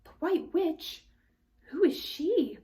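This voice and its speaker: A woman's voice: very high voice, expressive, slow, warm tone, voice pushed up, exaggerated voice. A woman voicing a kind, earnest little girl in a storybook, speaking emphatically with concern. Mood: anxious questioning. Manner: emphatic, pleading, questioning.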